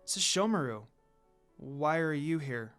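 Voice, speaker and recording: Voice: medium pitch, animated, slow, fairly bright tone, faint music behind. A reader voicing a sad, defiant young woman from a fan story in an emotional, dramatic way. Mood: disbelieving, nervous.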